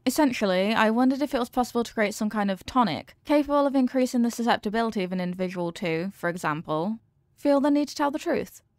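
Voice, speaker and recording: Woman playing a prim, higher-voiced lady scientist. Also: animated, quick pace, hardly any pauses, no echo, clean studio sound, lightly acted. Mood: earnest, explanatory. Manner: precise, formal, clinical wording. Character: earnest, formal young woman scientist fond of her test subject. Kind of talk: scripted roleplay addressed to the listener.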